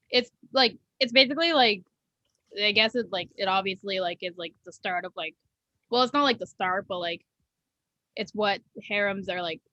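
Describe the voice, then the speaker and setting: A woman in a casual group chat, mid-pitched and dry-sounding, circling her point with endless fillers. She pauses, restarts and trails off as she thinks aloud. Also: sharp tone, no room sound, highs cut off. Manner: rambling, many fillers like "like". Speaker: podcast co-host.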